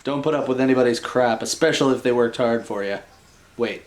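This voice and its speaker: A man reading a children's bedtime story in an animated style, with wry, joking casual asides. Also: mid-range voice, brisk, background noise.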